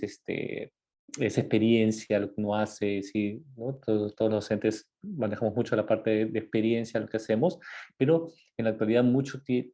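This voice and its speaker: A man from Ecuador with a low, mellow voice gives a relaxed, informal lecture on dentistry. He talks conversationally, filling pauses and checking in with his listeners with tag questions. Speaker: dentist giving a talk.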